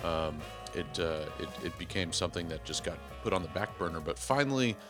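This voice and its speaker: Man in his forties, podcast host, very low voice, rambling, self-deprecating, emphatic diction. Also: animated, fairly quick, music in the background. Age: 40s. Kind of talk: casual podcast intro monologue.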